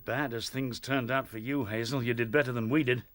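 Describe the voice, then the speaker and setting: A man playing a weary, earnest rabbit officer in a radio play, telling his tale gravely with a British accent and a husky voice. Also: mid-range voice, fairly even intonation, fairly quick, over loud music, close to an everyday voice. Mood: weary, grim. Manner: grave, dramatic storytelling. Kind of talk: radio drama acting.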